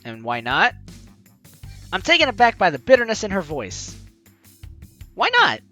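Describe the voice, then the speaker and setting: A woman's voice: medium pitch, wildly swooping pitch, unhurried, sharp tone, soft background music. A woman hosting a gaming video, reading game text aloud with playful, theatrical asides and casual commentary.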